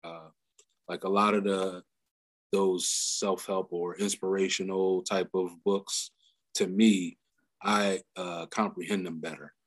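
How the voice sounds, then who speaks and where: A man talking casually as a podcast guest, halting with fillers and laughing often. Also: low-pitched, little pitch movement.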